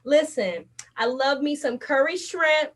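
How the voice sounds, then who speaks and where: A woman's voice: sits high, lively intonation, measured pace, noisy background. A woman from Baltimore hosting a casual interview show, upbeat and full of slang. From Baltimore, USA. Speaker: host.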